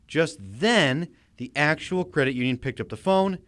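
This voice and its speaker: A man's voice: on the high side, animated, normal speed, a bit bright. A man with an American accent reading scripted news report narration as a reporter.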